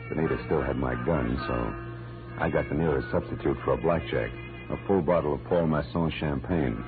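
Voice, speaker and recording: An American man playing a tough, wisecracking private detective in an old radio drama, clipped and sardonic. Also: very deep voice. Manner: hard-boiled, clipped, sardonic. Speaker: lead actor and narrator. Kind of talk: 1940s-50s radio detective acting. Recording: muffled old radio recording with music.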